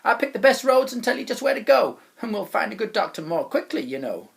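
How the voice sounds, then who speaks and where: A man voicing a bossy, boastful, cheery chap from a children's storybook, loud, emphatic and full of confidence. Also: sits high, sing-song, a little fast, creaky, pitched above the performer's own voice, full character voice. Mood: bossy, smug. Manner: loud, emphatic, hearty, overconfident.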